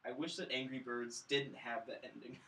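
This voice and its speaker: A man's voice: mid-range voice, steady tone, normal speed, vocal fry, pushed and bright, low-quality recording. A young man co-hosting a film podcast, giving casual reviews with wry, joking delivery. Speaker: podcast co-host reviewing films. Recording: faint room noise.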